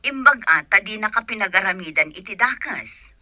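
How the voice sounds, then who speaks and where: A woman's voice: medium pitch, animated, moderate pace, bright, forceful tone, no echo. A woman acting a protective, plain-spoken provincial mother in an Ilocano radio drama. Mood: concerned.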